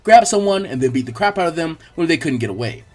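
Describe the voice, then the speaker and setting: Male story narrator, slightly high-pitched, reading scripted action fiction. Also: animated, fast talker.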